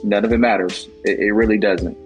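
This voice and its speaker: A man's voice: fairly low pitch, fairly even intonation, a little fast, soft background music. A man in his twenties with an American accent, a guest in a casual interview, talking earnestly and motivationally with many filler words. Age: 20s. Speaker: guest, travel agency founder.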